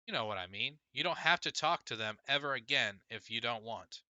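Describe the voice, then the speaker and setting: A man voicing an exasperated teenage girl, bright and forceful. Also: medium pitch, lively intonation, brisk, no echo, lo-fi sound. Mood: coaxing. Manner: emphatic, pleading, argumentative. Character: exasperated, insistent teenage girl, an older sister. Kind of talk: audiobook character dialogue.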